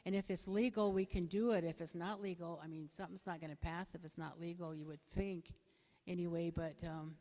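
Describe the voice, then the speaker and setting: Middle-aged female candidate at a community forum, soft lowish voice, halting and plain-spoken, reverberant hall. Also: steady tone. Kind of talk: unscripted candidate forum answer. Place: bingo hall, public forum over a PA. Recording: echoey hall with long reverb.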